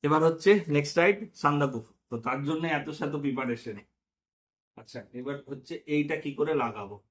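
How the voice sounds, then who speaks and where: A man's voice: fairly high pitch, a little fast, a bit bright. A man narrating a hands-on motorcycle vlog tutorial casually, talking viewers through each step in an informal way. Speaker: motorcycle vlogger.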